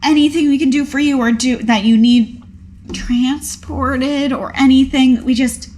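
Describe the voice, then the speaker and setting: A woman's voice: sits high, fairly even intonation, measured pace, darkish tone. A woman playing a tabletop role-playing game, talking casually as she improvises her part.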